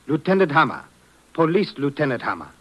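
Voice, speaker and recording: An actor playing a guarded foreign police lieutenant in a 1950s radio drama, formal, measured and polite. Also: male voice, fairly high pitch, very animated, a bit bright, husky, exaggerated voice. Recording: dull, lo-fi old radio recording.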